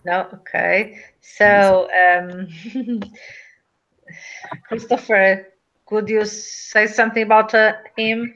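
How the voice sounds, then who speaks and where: Young Portuguese woman, non-native English, slow and halting, lo-fi audio. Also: mid-range voice, fairly even intonation, a bit bright. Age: young adult. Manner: halting, searching for words, apologetic. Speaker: student in a discussion group. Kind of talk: casual class discussion. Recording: dull lo-fi audio.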